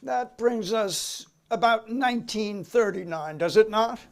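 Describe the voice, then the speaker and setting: A man's voice: sits high, a little slow, gravelly. A man playing a methodical committee counsel in a dramatic hearing reading, putting formal, clipped questions to a witness. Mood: businesslike. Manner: clipped, official, persistent questioning.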